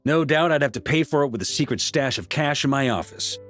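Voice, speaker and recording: A male actor plays a good-humored grandmaster knight from a video game, mid-pitched and lively in his intonation. He sounds easygoing and wry, with soft music playing faintly behind him. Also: a little fast, close to an everyday voice. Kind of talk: game voice acting.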